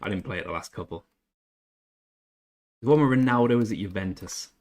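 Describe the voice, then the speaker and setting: A man's voice: fairly low pitch, brisk, a little mellow. A young English man chatting casually on a game stream, reading chat and joking about himself. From England. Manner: chatty, self-deprecating, reads chat aloud.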